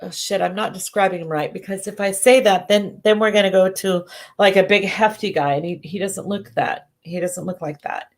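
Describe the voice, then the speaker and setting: A woman doing live psychic readings who sounds congested and talks in halting bursts, often restarting and correcting herself. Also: mid-range voice, steady tone. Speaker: psychic medium. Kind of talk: live-stream readings, casual chat.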